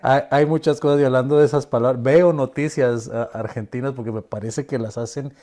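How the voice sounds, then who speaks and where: A man hosting an interview, relaxed and friendly, with short affirming replies. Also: mid-range voice, a little fast. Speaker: interview host. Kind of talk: conversational interview.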